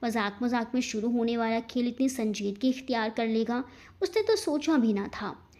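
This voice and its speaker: A woman's voice: on the high side, normal speed, a little mellow. A woman reading scripted fiction as a narrator, in a dramatic storytelling style.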